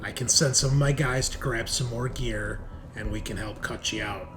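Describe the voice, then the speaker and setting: Man voicing hardened male crook for a tabletop game: blunt, cynical, mid-pitched, background noise. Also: fairly even intonation, moderate pace, natural voice. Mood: grudging, firm.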